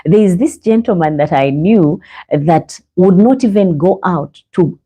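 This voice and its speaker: Uma mulher do Uganda, com sotaque ugandense, apresentando um podcast cristão ao vivo, em tom sério e pregador, falando diretamente aos ouvintes. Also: female voice, on the low side, animated, a little slow, mellow, breathy edge. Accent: Ugandan English. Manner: earnest, preachy, addresses viewers as guys. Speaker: podcast host. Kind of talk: live faith podcast monologue. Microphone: own microphone, sometimes adjusted.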